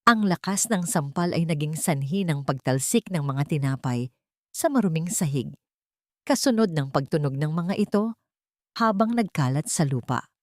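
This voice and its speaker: A woman's voice: low voice, a little mellow, no echo, clean studio sound. A woman reading dramatic scripted story narration as an audiobook narrator.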